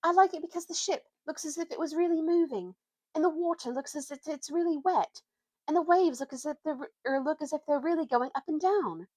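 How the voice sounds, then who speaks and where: A woman's voice: high-pitched, a little fast, darkish tone, voice pushed up, lightly acted. A woman voicing an earnest, truthful young girl, speaking sincerely and eagerly, as in a storybook reading.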